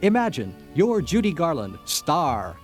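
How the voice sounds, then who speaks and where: A man's voice: on the high side, wildly swooping pitch, a little slow. A man playing a grandiose, dramatic contest announcer in a satirical radio ad. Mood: grand, enticing. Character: grandiose contest announcer selling a movie star's glamorous, tragic life. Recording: music bed under the voice.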